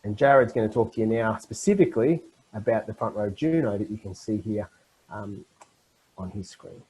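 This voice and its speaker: Husky-voiced man presenting webinar. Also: on the low side, moderate pace, mellow, low-quality recording. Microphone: video call.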